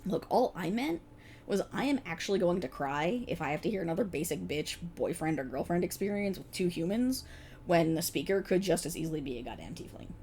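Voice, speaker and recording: A woman's voice: fairly low pitch, lively intonation, a little fast, darkish tone, slight background noise. A woman playing a playful, exasperated voice actor bantering casually with her best friend in an ASMR roleplay. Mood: teasing, candid. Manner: sarcastic, chatty, casual, hesitant asides. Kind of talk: ASMR roleplay, one side of a conversation.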